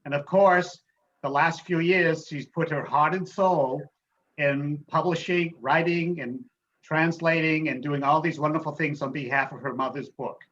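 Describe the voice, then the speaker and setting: Guy moderating an online talk and introducing the speaker, highish voice, kinda lo-fi audio. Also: steady tone, a little slow, a bit bright. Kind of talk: spoken introduction at an online event. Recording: dull, noisy online call audio.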